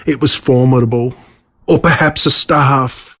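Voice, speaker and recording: A man with an Australian accent reading his own story aloud as a scripted narration, measured and dramatic. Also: mid-range voice, steady tone, a bit bright, breathy edge.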